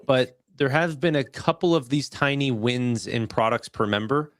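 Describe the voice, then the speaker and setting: A man with a medium-pitched voice, recorded close and dry. He chats as a co-host, offering opinions informally and feeling his way haltingly through them. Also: dry recording. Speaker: live stream co-host. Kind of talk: casual chat commentary.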